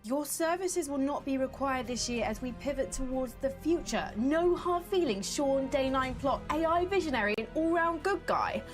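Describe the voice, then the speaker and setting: A woman's voice: sits high, animated, moderate pace, hardly any pauses. A woman reading lines in a scripted comedic sketch. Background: music.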